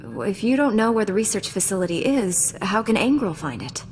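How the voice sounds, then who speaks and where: An actress playing a calm, confident young Jedi Knight heroine in a video game, composed, earnest and assured. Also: female voice, medium pitch, moderate pace, faint music behind, natural voice.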